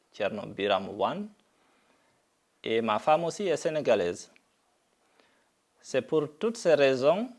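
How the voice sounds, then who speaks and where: A middle-aged man from Guinea making a deliberate public statement, speaking haltingly with frequent pauses and fillers. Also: mid-range voice, darkish tone. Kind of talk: slow, deliberate video statement.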